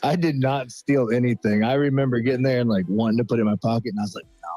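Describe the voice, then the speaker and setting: A man's voice: medium pitch, quick pace, warm tone, no echo. A man co-hosting a podcast in casual chat, slangy and calling everyone "bro". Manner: slangy, says "bro" constantly. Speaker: podcast co-host.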